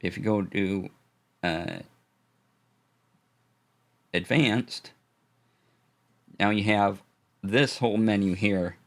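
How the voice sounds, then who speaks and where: Man, low voice, casual stream host, halting, filler-heavy, close studio mic. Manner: relaxed, halting explanations with fillers and restarts; greets chat viewers warmly. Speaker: streamer demoing audio gear. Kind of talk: casual live-stream tech demo. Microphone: studio dynamic mic.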